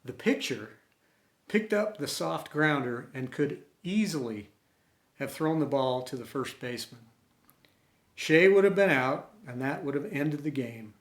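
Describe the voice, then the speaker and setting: A man with a slightly higher voice tells a story at an unhurried pace. He reads expressively and dramatically, and the room echoes around him. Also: lively intonation. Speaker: storyteller and host. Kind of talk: expressive storytime read-aloud. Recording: roomy, echoey home recording.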